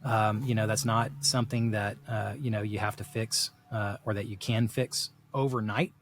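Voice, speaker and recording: A man's voice: lowish voice, steady tone, moderate pace, faint music behind. A middle-aged man from South Texas, talking through ideas in a rambling, reflective way full of "you know" fillers on a podcast. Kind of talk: casual podcast discussion.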